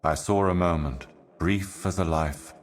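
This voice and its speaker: Game role: ancient eternal envoy of darkness, bottomless low voice, slow, solemn, portentous delivery. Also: male voice. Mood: resigned. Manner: grave, brooding, measured, portentous. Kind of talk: scripted game monologue, cryptic poetic narration.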